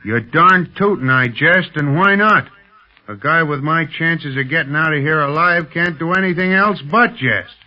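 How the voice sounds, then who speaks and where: An actor playing a brash, defiant pilot sidekick in a 1930s radio serial, slangy, sarcastic and folksy. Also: male voice, a little high, moderate pace, lightly acted. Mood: mocking. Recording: muffled old 1930s radio recording.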